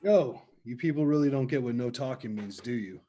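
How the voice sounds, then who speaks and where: Man acting a mellow, cynical, blunt tough guy. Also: medium pitch, animated, a little fast, lightly acted. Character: gruff, cynical, fatalistic armed man.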